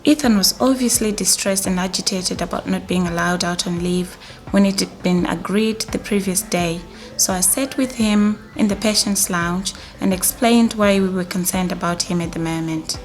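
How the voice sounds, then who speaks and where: A woman's voice: fairly low pitch, normal speed, faint music behind, natural voice. A woman playing a concerned, matter-of-fact mental health nurse narrating a scripted training film. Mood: calm, caring.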